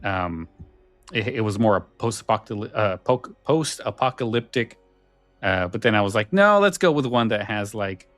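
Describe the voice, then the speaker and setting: A man co-hosting a podcast in a casual, informal and somewhat halting way. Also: fairly low pitch, lively intonation, fairly bright tone, no echo, soft background music. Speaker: podcast co-host.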